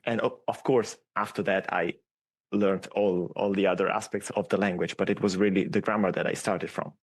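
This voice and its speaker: A man whose first language is Italian, a podcast guest, explaining his views at length in a steady, reflective way. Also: deep voice, normal speed. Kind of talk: casual podcast interview.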